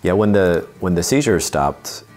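A man in his forties telling his family's story in an interview, seated on a living room sofa, speaking steadily with many pauses. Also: sits low, faint music behind. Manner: measured, steady telling with frequent uh fillers. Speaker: parent interviewee. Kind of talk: interview testimonial.

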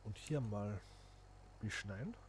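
Guy chatting thru a sim game, thinking out loud, bit of background hiss. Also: lowish voice, expressive, takes it slowly. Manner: chatty, thinks aloud while playing, addresses viewers. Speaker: gaming channel host. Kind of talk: casual gameplay commentary.

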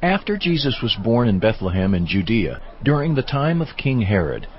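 A man narrates scripture in a measured, formal way at a medium pitch. The recording is slightly dull, with soft music underneath. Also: big pitch swings. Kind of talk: scripted narration of dramatized Bible.

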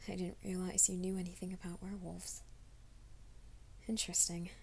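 A woman's voice: lowish voice, little pitch movement, moderate pace, vocal fry, a little hiss or hum. A woman voicing a bashful young werewolf man. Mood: flustered pleading. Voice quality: soft, half-whispered. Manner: hesitant, stammering, self-conscious. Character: shy, flustered young male werewolf. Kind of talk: ASMR roleplay.

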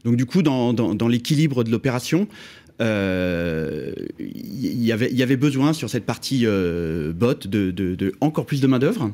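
A middle-aged man speaks into a studio microphone as a guest on a radio panel. He talks in a halting way, stopping and repeating small words before he finishes each thought. His voice sits at an ordinary pitch for a man. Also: lively intonation, mellow. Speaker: housing project manager. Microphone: studio mic on stand.

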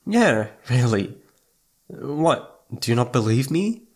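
Man acting a needy, gravelly young boyfriend. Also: medium pitch, very animated, slow pace, full character voice. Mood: needy, teasing. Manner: pouty, teasing, stammering when flustered. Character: needy, playful young boyfriend, sweet and easily flustered. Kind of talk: intimate ASMR roleplay acting.

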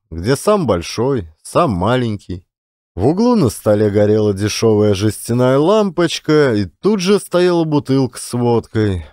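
A man's voice: mid-range voice, darkish tone, no echo, studio quality. A man reading scripted literary narration as an audiobook narrator and actor.

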